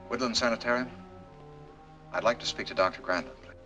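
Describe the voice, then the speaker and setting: An actor playing a hesitant, troubled male patient, halting and subdued, in a 1940s mystery film. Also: mid-range voice, close to an everyday voice. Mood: hesitant, downcast. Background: music.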